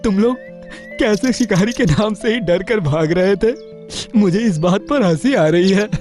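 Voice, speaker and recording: A man's voice: high-pitched, expressive, measured pace, a little mellow, soft background music. A man voicing a mischievous young male deer in a cartoon story, gleeful and mocking. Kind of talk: Hindi cartoon moral story voice acting.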